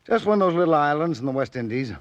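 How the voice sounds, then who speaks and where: An actor playing a plain-spoken newspaper editor in a 1940s radio drama, colloquial and clipped, obliging but a little reluctant. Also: male voice, on the high side, lively intonation, very fast, natural voice. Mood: hesitant, obliging. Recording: muffled vintage radio recording.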